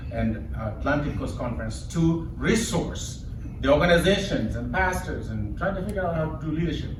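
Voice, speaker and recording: Kenyan man speaking in an echoey room. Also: fairly high pitch. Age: middle-aged. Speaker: public speaker, leader of an organization. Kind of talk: live speech to an audience, personal storytelling. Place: indoor event before an audience. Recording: echoey room, distant mic, background noise.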